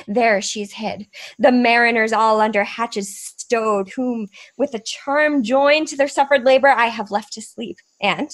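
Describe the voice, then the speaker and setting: Woman playing airy spirit servant, kinda high voice, theatrical shakespeare audio drama, eager to please but wants freedom. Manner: theatrical, deferential, earnest. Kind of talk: Shakespearean radio play acting.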